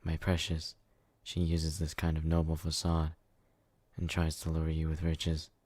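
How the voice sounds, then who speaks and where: An actor playing an obsessive, jealous, brutish yet devoted lover in an ASMR roleplay, with an intense, clingy murmur. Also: male voice, rumbling low, completely flat delivery, measured pace, a little mellow, no echo. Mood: accusing. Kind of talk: ASMR romantic roleplay acting.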